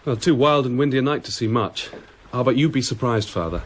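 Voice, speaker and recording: An actor playing an earnest, defensive young poet and son in a naturalistic radio drama. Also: male voice, medium pitch, expressive, a little fast, a little hiss or hum, close to an everyday voice. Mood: polite.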